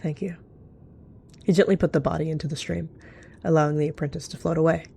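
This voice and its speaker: A woman's voice: sits low, steady tone, rapid-fire, gravelly, warm tone, a little hiss or hum. A woman reading scripted story narration as a storyteller.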